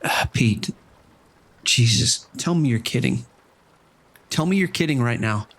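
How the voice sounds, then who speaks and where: An actor playing a harried casino manager in an audio drama, anxious and hesitant, with a pleading way of talking. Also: male voice, mid-range voice, animated, measured pace, a bit bright. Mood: alarmed, pleading. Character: nervous, harried casino manager, male, owing money.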